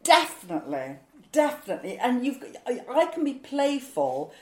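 A woman's voice: fairly high pitch, very animated, moderate pace, a little mellow, reverberant. A woman in her sixties, a stand-up comedian, answering interview questions in a chatty, rambling, self-mocking way with frequent laughs. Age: 60s.

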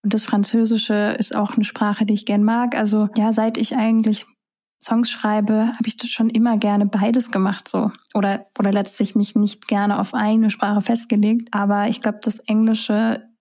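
A woman's voice: mid-range voice, level tone, moderate pace, mellow. A young woman, a singer-songwriter whose first language is German, talking casually in an interview, musing with many fillers.

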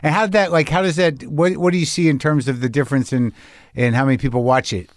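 A man in his late fifties from New Mexico, hosting a podcast, asking eager questions with lots of quick affirmations. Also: fairly high pitch, fairly even intonation, dry recording, clean studio sound. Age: 50s. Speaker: podcast host. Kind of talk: casual interview chat.

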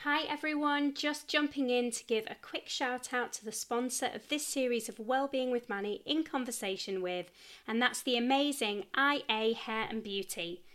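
A woman's voice: on the high side, moderate pace, a bit bright. Effusive woman hosting a podcast. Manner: effusive, encouraging. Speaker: podcast host. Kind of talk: scripted intros and chatty interview.

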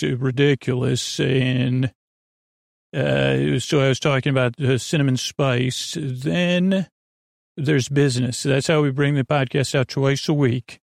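Guy doing a rambly bedtime podcast, gravelly croaky voice, super dry close sound, kinda silly and reassuring, keeps losing his thread. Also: medium pitch, no echo. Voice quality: gravelly, creaky. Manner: meandering, hesitant, trailing off and doubling back. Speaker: podcast host.

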